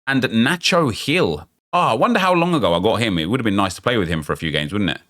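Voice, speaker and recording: A man, chatty and excitable, thinking aloud as he opens packs in a football game, losing his voice and getting unwell. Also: on the low side, fast. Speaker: gaming YouTuber. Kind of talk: casual pack-opening commentary.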